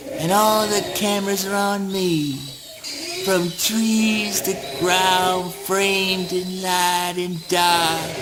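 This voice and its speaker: Man acting a high-strung, strange, grandiose misfit. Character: Eugene, strange grandiose male misfit, menacing and childish. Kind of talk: theatrical spoken word monologue. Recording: noisy early-nineties amateur audio play.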